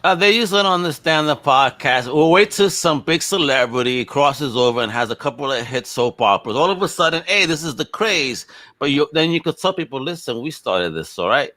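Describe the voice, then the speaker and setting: A man's voice: on the high side, expressive, fairly quick, sharp tone, no room sound. A man hosting a podcast in a casual, enthusiastic, slangy chat style. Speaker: podcast host.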